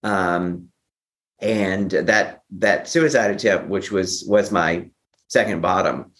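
A man's voice: low voice, slow pace, fairly bright tone. A man telling a personal story as an interview guest, speaking candidly and with a theatrical streak. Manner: candid, theatrical, acts out quoted remarks. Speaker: interview guest, memoir author.

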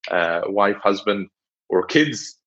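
A man's voice: lowish voice, expressive, slow pace, a bit bright, lo-fi sound. A half-Swiss, half-British man with a Swiss-British accent, speaking as an interview guest in a thoughtful way, with many fillers. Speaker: guest, engineering director. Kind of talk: reflective interview talk.

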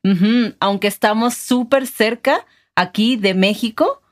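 Una mujer mexicana con acento mexicano, co-presentadora de un podcast, que guía la conversación con preguntas amables. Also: female voice, on the high side, a little slow. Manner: friendly, guiding the interview with questions. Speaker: podcast co-host. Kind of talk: casual chat.

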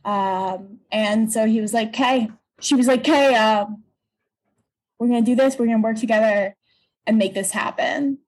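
A young American woman with a high, childlike voice chats in a casual interview. She speaks reflectively and a little hesitantly, finding her thoughts as she goes. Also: a little slow, a little mellow, poor audio. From Pennsylvania, United States. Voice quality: childlike, youthful tone. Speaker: voice and stage actor, interview guest.

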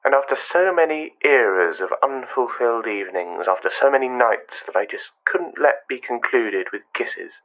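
An actor playing a wistful, controlling, quietly menacing man in a radio play, speaking in measured, fragmented phrases. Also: mid-range voice, animated, dull audio, close to an everyday voice. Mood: wistful, detached. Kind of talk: radio play monologue and dialogue.

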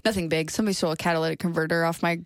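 A woman's voice: on the low side, fairly even intonation, very fast, dry recording. Woman, young adult, podcast host, bubbly, laughing, dry close sound. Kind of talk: casual chat.

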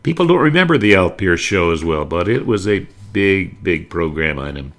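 A man's voice: deep voice, animated, normal speed, fairly bright tone. An older man presenting a nostalgic talk, reminiscing with theatrical emphasis. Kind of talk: nostalgic narrated talk on old radio.

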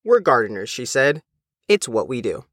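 Male news reporter for scripted narration, animated intonation, very dry close recording. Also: mid-range voice, moderate pace, no room sound.

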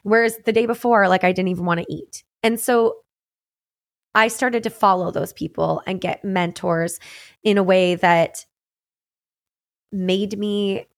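Young woman, podcast host, mid-pitched and conversational, earnest personal monologue, dry close sound. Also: fairly even intonation, measured pace, long pauses, no echo. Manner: earnest, reflective, conversational with frequent "um" and "like".

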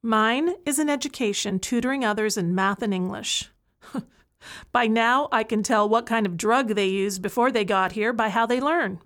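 A woman's voice: a little high, moderate pace, a bit bright, close to an everyday voice. An actress playing an incarcerated woman who tutors other prisoners, speaking frankly and earnestly in an audio play monologue. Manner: earnest, frank, building to a plea for change.

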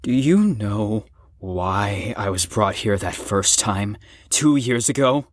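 A young man acting a troubled, self-loathing teenage ex-addict in an emotional audio drama monologue, halting and profane. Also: lowish voice, animated, no echo. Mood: bitter, hurt. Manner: emotional, halting, profane, swings between bitter and impassioned. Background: music under the voice.

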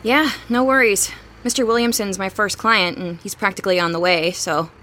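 A friendly, considerate young woman, played by an actress speaks casually at a middling pitch. It is naturalistic audio drama, with faint noise behind her. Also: steady tone, normal speed, pushed and bright, natural voice. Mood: concerned. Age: young adult. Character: caring, friendly young woman, a home helper.

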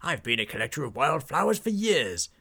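A man's voice: on the high side, big pitch swings, a little fast, creaky, pushed and bright, voice pushed up, heavily acted. A man voicing a pompous, cheerful knight with a genteel, fussy manner in a storybook tale. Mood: delighted. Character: pompous, cheerful male knight, fond of flowers.

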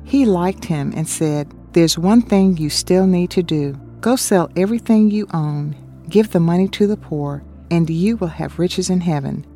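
An earnest woman presenting a scripted radio Bible study and reading scripture aloud. Her voice is dark and mellow, set a bit low, with faint music behind it. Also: measured pace, few pauses.

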